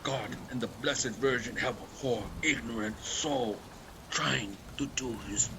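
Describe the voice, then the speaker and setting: A man's voice: medium pitch, unhurried, natural voice. A man playing a grim, dutiful and frightened sea captain in a radio play, narrating in terse phrases. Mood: despairing, resolute. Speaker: actor. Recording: faint background noise.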